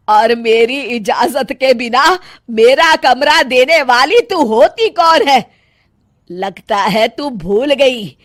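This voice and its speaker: A woman voicing a greedy, hot-tempered elderly mother-in-law who scolds and bosses in a comedy cartoon. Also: high-pitched. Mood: outraged. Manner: scolding, sarcastic, domineering. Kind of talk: Hindi family comedy cartoon.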